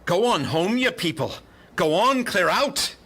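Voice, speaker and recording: An actor playing a gruff, scowling, cigar-chewing police detective in a radio crime play, with a blunt, commanding manner. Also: male voice, high-pitched, big pitch swings, measured pace, crowd noise. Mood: ordering.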